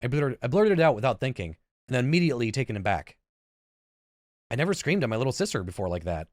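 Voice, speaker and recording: A man's voice: medium pitch, sing-song, fast, no echo. A man in his thirties reading visual novel narration aloud, informally, stumbling over words and adding casual comments. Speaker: gaming YouTuber, let's player. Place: indoor room, seated at a computer. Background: game music.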